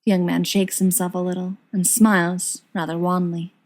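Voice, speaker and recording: A woman's voice: lowish voice, level tone, moderate pace, a little mellow. A woman reading a story aloud as scripted narration, in the manner of an audiobook reader.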